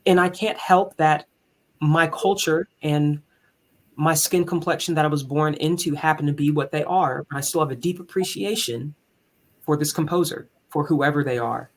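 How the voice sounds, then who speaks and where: A man with a higher tenor voice, speaking as a musician and conductor in an earnest, candid, reflective way in a conversational interview. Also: normal speed.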